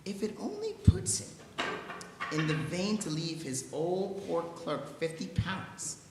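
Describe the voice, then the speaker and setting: A woman's voice: lowish voice, takes it slowly, exaggerated voice. A woman playing a cheerful, teasing Victorian nephew in a theatrical dramatic reading. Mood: jovial, teasing. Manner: animated, theatrical, laughing delivery. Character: Scrooge's good-humored nephew, cheerful and teasing. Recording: echoey hall with background noise.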